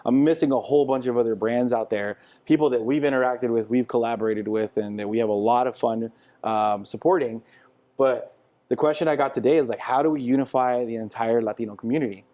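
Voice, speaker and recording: A man's voice: medium pitch, fairly even intonation, brisk, mellow. A young man hosting a podcast in casual chat, talkative and eager, encouraging his guest as he listens. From San Diego, California. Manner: chatty, enthusiastic, encouraging listener. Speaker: podcast host.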